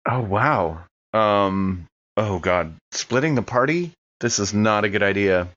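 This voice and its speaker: A man's voice: fairly low pitch, animated, takes it slowly, fairly bright tone, dull audio. An American man chatting casually as a player in a tabletop roleplaying game.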